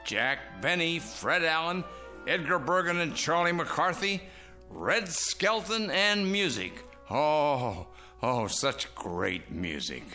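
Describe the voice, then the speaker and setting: Cranky old man in a radio play, theatrical, real slow, music behind him. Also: a little high, full character voice. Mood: nostalgic. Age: older. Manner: grumbling, theatrical, animated. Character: cranky, nostalgic old man, scornful of television. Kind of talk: radio drama acting.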